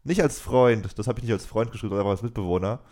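Young male podcast co-host, lowish voice, chatty, laughs a lot, loose and rambling. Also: very fast, a little mellow. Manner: joking, laughs often, rambling with restarts. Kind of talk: casual chat.

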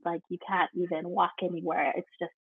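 A woman's voice: on the low side, little pitch movement, brisk, vocal fry, breathy edge. A woman from Hawaii chatting casually as a podcast guest, heard over a thin, phone-like connection. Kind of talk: casual interview chat. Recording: thin phone-like call audio.

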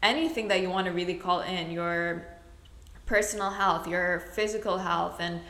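Woman from the middle east chatting on her podcast, lowish voice, rambles and fixes herself a lot. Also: fairly even intonation, moderate pace, fairly bright tone. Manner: chatty, rambling, self-correcting. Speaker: podcast host. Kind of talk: casual solo podcast chat. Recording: roomy echo.

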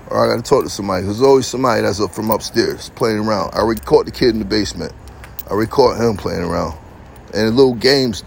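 A man's voice: medium pitch, vocal fry, slight background noise. A man rambling on in a boastful, streetwise monologue, repeating himself, recorded outdoors in his yard on a phone.

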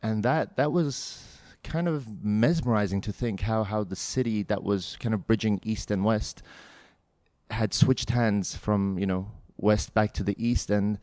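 A man's voice: fairly low pitch, a little slow, a little mellow, dry recording. A man from Hong Kong hosting a podcast interview, speaking in a thoughtful, reflective way. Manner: thoughtful, reflective, some restarts. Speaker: podcast host. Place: studio in São Paulo.

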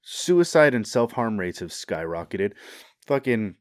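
A man in casual podcast chat, crude and quick to laugh, swearing often. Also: fairly low pitch, big pitch swings, dry recording, studio quality.

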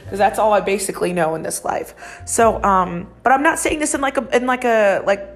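A woman's voice: mid-range voice, fairly quick. Thirty-something woman rambling on her podcast, stuffy nose, a bit nasal, cusses a lot, faint music under her. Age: 30s. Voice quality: slightly nasal. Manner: rambling, chatty, frequent swearing and laughs. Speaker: podcast host and psychic medium. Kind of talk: casual solo podcast monologue.

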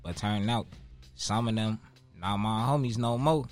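A man's voice: lowish voice, moderate pace, faint music behind. A man in his twenties with a Chicago accent, talking casually as an interview guest in street slang, with profanity and emphatic repetition. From Chicago, United States. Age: 20s.

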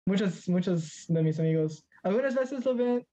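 A man's voice: high voice, animated, moderate pace, warm tone, lo-fi sound. A teenage boy from Mexico in casual conversation as a guest, hesitant and searching for words, slipping into English now and then. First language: Spanish. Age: teens.

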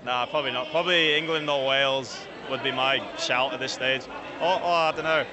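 A man's voice: mid-range voice, fairly even intonation, fairly quick. Wry man among a crowd. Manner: wry, self-deprecating. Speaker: rugby fan interviewed. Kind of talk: casual chat. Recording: loud crowd outdoors.

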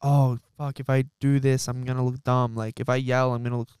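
A man hosting a podcast, telling animated stories in casual chat and swearing freely. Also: medium pitch, fairly quick, clean tone, no room sound, clean studio sound. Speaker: podcast host.

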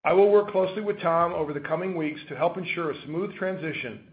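A man delivers formal prepared remarks as a company chairman. His voice sits somewhat high. The sound is narrow, like a telephone line. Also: fast. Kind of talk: prepared earnings call remarks. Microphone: telephone conference line. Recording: thin telephone-line audio.

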